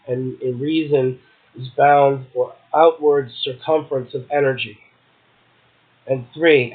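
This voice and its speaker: Phone-like recording of a man reading poetry. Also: medium pitch. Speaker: poetry podcast host, poet. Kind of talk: poetry reading and casual commentary. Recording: muffled, tinny phone-like audio.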